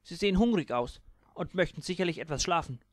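A man's voice: on the high side, expressive, fast, no echo, studio quality, voice pushed up, close to an everyday voice. A man voicing a blunt, matter-of-fact bronze alien in an audiobook.

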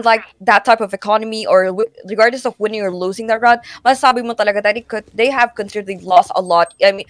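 A woman's voice: medium pitch, no echo. A woman with a Filipino accent commentating live on a game in a wordy, code-switching way. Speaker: esports commentator.

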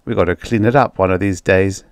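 A coarse army lieutenant , played by a male narrator, gruff and pushy. His voice is low and the sound is dry, as in an audiobook. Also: steady tone, fairly quick, no echo. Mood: disgusted.

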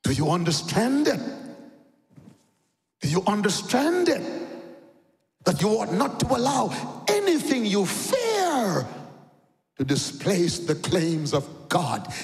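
A man preaching a fiery sermon, shouting and sing-song, with dramatic rises and drops. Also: high-pitched, wildly swooping pitch, takes it slowly, pauses often. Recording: echoey hall with long reverb.

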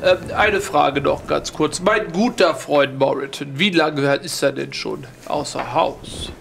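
A man's voice: fairly high pitch, gravelly, a bit bright, a little hiss or hum, heavily acted. A man playing a genteel, courteous, probing old aristocrat in a roleplay audio play. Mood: polite, probing. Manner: genteel, measured.

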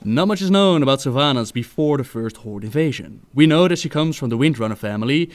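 A man's voice: medium pitch, animated, a little fast. A man telling a story as a video narrator, in a scripted lore narration style.

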